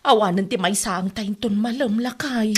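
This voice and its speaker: A woman's voice: medium pitch, normal speed, a little hiss or hum, close to an everyday voice. A woman with an Ilocano accent playing a fretful, nagging wife in a comedy radio drama.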